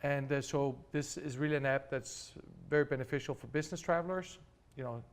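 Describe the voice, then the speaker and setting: A man's voice: medium pitch, brisk. A man from Denmark, a startup founder being interviewed, explaining things step by step with many restarts and fillers. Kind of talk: interview answers.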